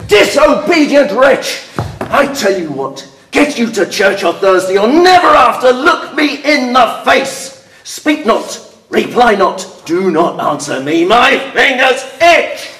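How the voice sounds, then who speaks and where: Domineering Shakespearean father, male actor, older, scornful and explosive; wide-swinging high voice, roomy sound. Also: lively intonation, takes it slowly, a bit bright, a little hiss or hum, exaggerated voice. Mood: furious. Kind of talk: classical stage verse acting. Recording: roomy, echoing acoustic.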